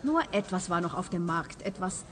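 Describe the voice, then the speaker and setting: An actress playing a pushy, indignant, talkative amateur sleuth in a comic radio play. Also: female voice, on the low side, animated, moderate pace, soft background music, lightly acted. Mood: earnest explaining. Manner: assertive, indignant.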